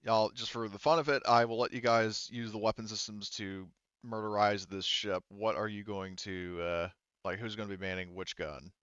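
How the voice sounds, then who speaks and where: A man's voice: lowish voice, steady tone, normal speed, few pauses, fairly bright tone, smooth, no echo. A man working as game master in a casual tabletop session, thinking aloud informally with some swearing on a slightly dull close microphone. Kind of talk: casual tabletop game narration and rules talk.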